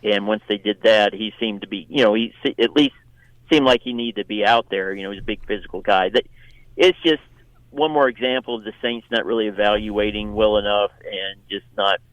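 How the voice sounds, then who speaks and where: Male sports journalist guest, fairly low pitch, dry sound, halting conversational analysis. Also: a bit bright, no echo, low-quality recording. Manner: halting, repeats and restarts phrases. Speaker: sports editor, radio show guest.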